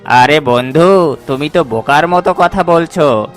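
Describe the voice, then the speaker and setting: Man playing a high-pitched shrewd crow friend. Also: measured pace, faint music behind, close to an everyday voice. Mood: shrewd, advising. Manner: questioning, counselling. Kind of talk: animated moral story voice acting.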